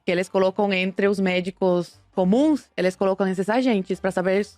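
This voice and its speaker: A woman's voice: mid-range voice, steady tone, moderate pace, a little mellow, dry recording. A young woman speaks as a guest on a talk podcast. Her delivery is emphatic, stacking up short, clipped phrases. The sound is dry and close, with faint music underneath. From Cuba. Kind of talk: casual podcast conversation.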